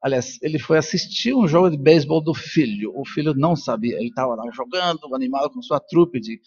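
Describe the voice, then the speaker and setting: Older Colombian man, higher-pitched, lecturing. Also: brisk, a little mellow, husky, dull audio. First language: Spanish. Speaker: neuroscientist and professor speaking at a congress. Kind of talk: informal conference lecture with personal anecdotes.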